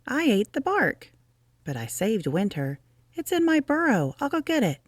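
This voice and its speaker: A high-pitched, excitable young rabbit, played by a woman reading a storybook. He is earnest and a bit forgetful, with a lively swing in his delivery and a close, dry sound. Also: normal speed, dry recording. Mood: eager.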